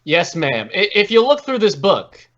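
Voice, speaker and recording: A man's voice: high-pitched, big pitch swings, a little slow, a bit bright, exaggerated voice. An actor playing a polite, businesslike male police detective in a 1950s radio drama. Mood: polite, inquiring. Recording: dull vintage radio recording.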